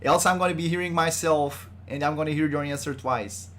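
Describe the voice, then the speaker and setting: A man's voice: a little high, brisk, fairly bright tone, a little hiss or hum. A man hosting a casual podcast livestream, joking and loose with a groggy voice. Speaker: podcast host.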